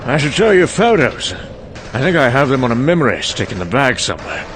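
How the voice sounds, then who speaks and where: Male actor as weary old scientist, mid-pitched, hesitant, wry, confessional monologue, motion comic, clear background noise. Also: very animated, brisk, fairly bright tone. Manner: conversational, hesitant, wryly confessional. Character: rambling, weary, sherry-soaked older male scientist. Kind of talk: dramatic monologue. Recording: clearly noisy background ambience.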